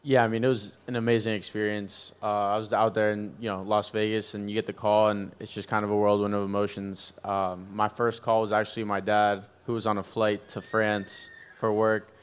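A young man, born in Peru, answering panel questions about his baseball career in a steady, plain way. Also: on the low side, moderate pace, few pauses, clear, resonant tone. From born in Peru, Venezuelan family.

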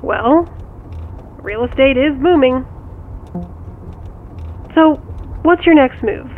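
Audio drama: sharp, wry sister played by a woman, highish voice, direct and probing, thin phone-line sound. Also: lively intonation, slow, exaggerated voice. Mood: matter-of-fact, probing. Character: sharp, teasing sister of the main character. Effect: phone call filter. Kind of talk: audio drama acting, conversational. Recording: tinny phone line, faint background noise.

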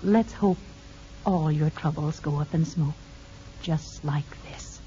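An actress playing a kindly parole officer in a 1950s radio drama, speaking in a calm, measured, reassuring way. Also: female voice, low-pitched. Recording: dull 1950s radio recording.

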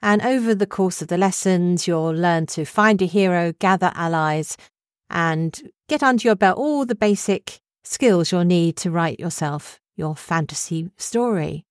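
A woman's voice: fairly low pitch, unhurried, no echo, studio quality. A middle-aged British woman presenter reading a scripted promotional message.

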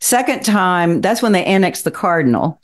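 A woman's voice: fairly low pitch, big pitch swings, normal speed. A woman in her sixties from Kentucky, a guest telling stories in a chatty podcast conversation and laughing often. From Kentucky, Appalachian Mountains. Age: 60s. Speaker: guest, city council member. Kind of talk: casual chat.

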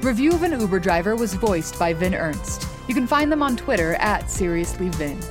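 A woman's voice: mid-range voice, very animated, moderate pace, over music. A woman reading scripted podcast credits.

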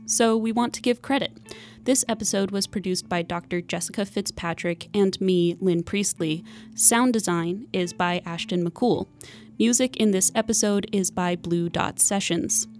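A woman's voice: mid-range voice, darkish tone. A young woman from the United States hosting and producing a university podcast, reading credits and chatting with a co-host. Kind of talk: casual chat and scripted outro.

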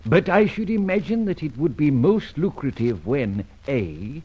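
Masked gentleman rogue for vintage radio serial: urbane, mocking, lively intonation, dull old recording. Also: fairly high pitch, unhurried, breathy edge, heavily acted. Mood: smooth menace. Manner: polished, drily ironic, courteous menace. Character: suave gentleman adventurer, masked vigilante, mocking and unruffled.

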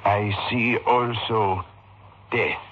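An actor playing a grave, ominous occult palm reader, speaking in measured, portentous phrases in an old-time radio horror play. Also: male voice, fairly low pitch, fairly even intonation. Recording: muffled, noisy old radio recording.

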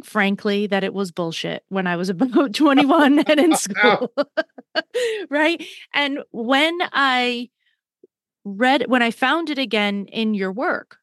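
A woman's voice: mid-range voice, no echo. A woman in her thirties hosts an interview in a casual, candid way. Her mid-range voice sits close and dry, with no room sound around it. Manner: candid, conversational, asks follow-ups. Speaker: podcast host.

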